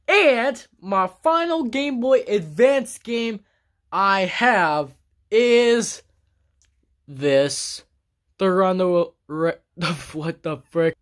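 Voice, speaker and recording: A game collector vlogging casually about their collection, talking in a halting, rambling way with many restarts and blunt grumbles. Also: mid-range voice. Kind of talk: casual collection show-and-tell vlog. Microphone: camera on a tripod.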